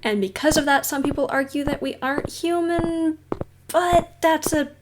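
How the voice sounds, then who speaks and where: A woman playing a friendly, patient mentor in a roleplay audio drama, speaking to the listener in a gentle, reassuring, casually instructive way. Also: high-pitched, steady tone, moderate pace, noisy background, natural voice. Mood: friendly, explaining. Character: friendly female mentor with powers, patient and encouraging trainer.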